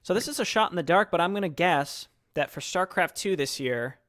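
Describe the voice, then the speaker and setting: Bright-voiced man hosting a gaming chat. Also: sits high, expressive, a little fast, dry recording, clean studio sound. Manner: chatty, laughs often. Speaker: host.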